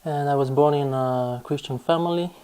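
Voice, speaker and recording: Man, Romanian accent, calm mellow testimony. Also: on the high side, moderate pace, smooth. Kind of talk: personal testimony.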